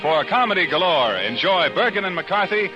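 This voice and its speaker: A man's voice: high voice, big pitch swings, moderate pace, muffled recording. An American man reading scripted announcements in a 1950s radio style. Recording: vintage radio broadcast. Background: music.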